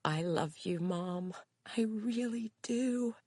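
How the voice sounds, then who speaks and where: A woman's voice: mid-range voice, slow, darkish tone, breathy edge, dry recording. A woman reading aloud, voicing an adult daughter who tearfully reassures her mother. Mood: earnest. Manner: emotional, reassuring.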